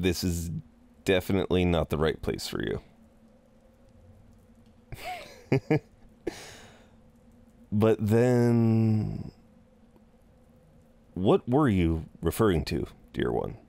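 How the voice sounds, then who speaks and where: A man playing a courteous, chatty incubus innkeeper in an intimate audio roleplay, with polite, hesitant pauses and a flirtatious streak. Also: on the low side, vocal fry, warm tone, exaggerated voice. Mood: amused, puzzled. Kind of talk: intimate scripted roleplay acting.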